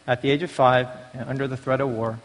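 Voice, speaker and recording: A man's voice: mid-range voice, level tone, quick pace. A man gives a prepared personal testimony at a church recovery meeting, speaking in a large, echoing hall. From born in Taiwan, raised in the United States from age five. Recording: long reverberant hall echo.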